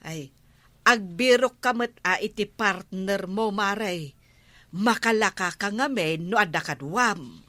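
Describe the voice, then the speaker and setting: A woman with an Ilocano accent playing an excitable, giggly, gossipy village woman in a comedy radio drama. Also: mid-range voice, slow pace, no room sound. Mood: coaxing.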